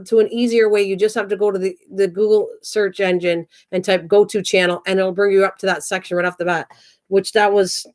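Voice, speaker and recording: A woman's voice: medium pitch, darkish tone. Woman, 60s, craft channel host, casual rambling live chat, answers viewers by name. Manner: chatty, rambling, talks back to chat by name. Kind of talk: casual livestream chat reading viewer comments.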